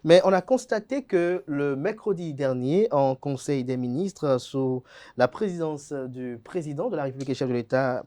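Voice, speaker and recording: A man's voice: medium pitch, warm tone. A man, hosting a TV current-affairs debate in a formal manner, stumbling at times as he tries to cut in. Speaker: debate show host.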